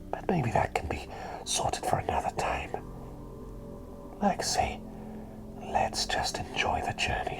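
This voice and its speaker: A man playing a gentle, doting plague doctor in an ASMR roleplay, whispering soothingly in a politely genteel, rambling way. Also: lowish voice, full character voice, rough recording. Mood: soothing, reassuring. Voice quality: soft breathy whisper. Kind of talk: ASMR roleplay, personal attention.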